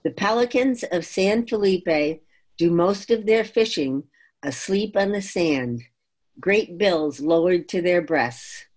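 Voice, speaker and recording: A woman reading a poem aloud in a measured recital style at a group reading. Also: fairly low pitch. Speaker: poetry reader at a group reading.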